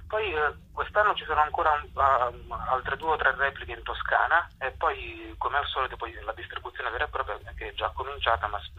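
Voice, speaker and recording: Very raspy, sharp male voice on phone. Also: medium pitch. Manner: halting, thoughtful, pausing between phrases. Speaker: stage performer, interview guest. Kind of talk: casual phone interview. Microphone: telephone. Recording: dull, band-limited phone line.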